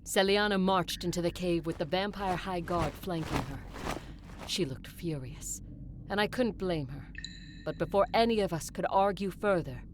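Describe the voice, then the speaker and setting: A woman's voice: on the low side, moderate pace, close to an everyday voice. A woman playing a battle-hardened, determined vampire conqueror who narrates and argues bluntly in an audio drama. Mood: intense narrating. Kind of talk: audio drama acting and first-person narration. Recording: clear background noise, ambience.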